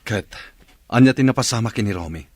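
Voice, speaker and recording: A man's voice: fairly low pitch, expressive, brisk, dry recording. A middle-aged man with an Ilocano accent playing a worried village father who asks blunt questions about his missing son. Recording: clear background noise.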